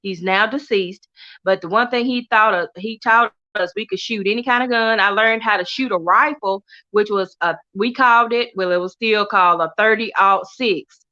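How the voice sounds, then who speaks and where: Lively Texan woman livestream host. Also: medium pitch, normal speed, dull audio. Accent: Southern US, Texas. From Texas. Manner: animated, laughs often, chatty and warm toward viewers. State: coughing from allergies. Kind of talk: casual livestream chat reading comments.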